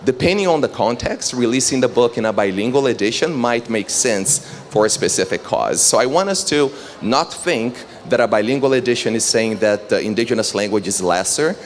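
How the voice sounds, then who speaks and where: Man, thirties, panel moderator, eager and earnest, mid-range voice, soft hum behind. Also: mid-range voice, animated, a little fast, few pauses. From Brazil. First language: Brazilian Portuguese. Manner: earnest, enthusiastic, addresses the audience directly. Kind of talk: public panel talk. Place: panel on stage before an audience.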